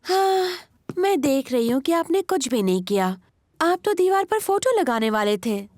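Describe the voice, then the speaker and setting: A woman voicing a motherly, gently teasing mother pig in a children's cartoon dub. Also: high voice, very animated, normal speed, dry recording, studio quality. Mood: wry, teasing. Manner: bright-spirited, motherly, wry.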